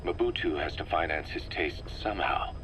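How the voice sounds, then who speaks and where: An actor playing a serious, methodical middle-aged intelligence officer, giving a measured, analytical briefing in a military video game. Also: male voice, deep voice, a bit bright. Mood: grave. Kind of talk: scripted briefing, game voice acting. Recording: faint noise on a cassette-tape briefing.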